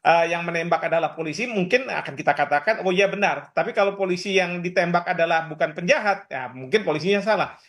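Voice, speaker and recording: Slightly high-voiced male expert, halting. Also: a bit bright. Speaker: expert guest, professor. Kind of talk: live interview analysis.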